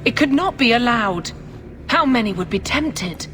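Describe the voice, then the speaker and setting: A woman's voice: fairly high pitch, expressive, unhurried, a bit bright, slight background noise. A woman playing a zealous, self-righteous young cleric with grandiose, preaching delivery in a fantasy video game. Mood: cold, defiant.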